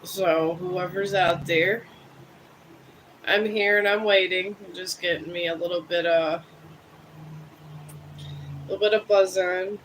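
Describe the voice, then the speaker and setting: A man in his fifties from Ohio, chatting casually with viewers on a live stream in a rambling, musing, self-deprecating way, a bit high. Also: steady tone, a little slow, pauses often, a bit bright, a little hiss or hum, low-quality recording. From Cleveland, Ohio. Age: 50s.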